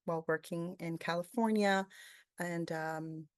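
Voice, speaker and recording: A woman's voice: fairly low pitch, fairly even intonation, measured pace, clean tone, no echo, studio quality. A woman from Peru giving a webinar presentation, thoughtful and full of fillers, often asking "right?".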